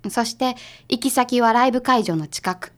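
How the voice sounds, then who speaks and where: A sharp, no-nonsense female detective's assistant in a radio drama, played by a woman. Her voice is on the high side, with a Kansai accent. She talks casually and gets straight to the point. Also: normal speed, natural voice. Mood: calm, explaining.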